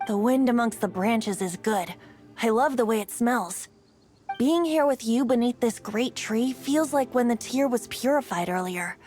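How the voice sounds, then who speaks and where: A woman's voice: fairly high pitch, animated, unhurried, a little mellow, faint music behind. A woman voicing a whimsical boyish young bard in a video game, theatrical and coaxing, with a lyrical way of phrasing. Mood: gentle, wistful.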